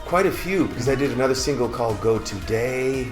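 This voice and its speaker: A man who grew up in Switzerland, telling lively stories as an interview guest and mimicking sounds along the way. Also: mid-range voice, fairly quick. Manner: animated, imitates sounds and voices while telling stories. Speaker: singer-songwriter guest. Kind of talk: casual storytelling interview. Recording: echoey room with guitar music behind.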